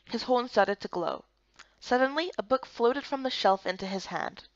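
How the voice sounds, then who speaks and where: A woman's voice: on the high side, moderate pace, no room sound. Woman narrating, dry and slightly muffled. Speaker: narrator and voice performer. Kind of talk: scripted story narration of a visual novel game.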